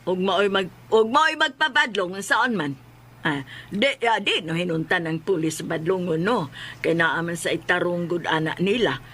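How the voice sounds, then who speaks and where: A woman's voice: on the low side, unhurried, a bit bright, slightly rough. A woman with a Cebuano Filipino accent playing a bustling, opinionated homemaker in a comedy drama.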